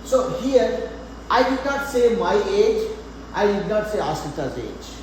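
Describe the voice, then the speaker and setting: A man in his forties teaches math to a live class, talking patiently and checking in with students. His voice sits high for a man. The room rings with a long, echoing reverb. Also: sing-song, unhurried. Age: 40s. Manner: patient, repeats points, asks students questions. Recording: echoey room, faint background noise.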